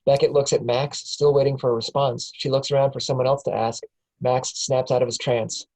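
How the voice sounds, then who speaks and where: A man's voice: mid-range voice, level tone, fairly quick, a little mellow. Matter-of-fact man reading stage directions. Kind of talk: scripted narration at a table read.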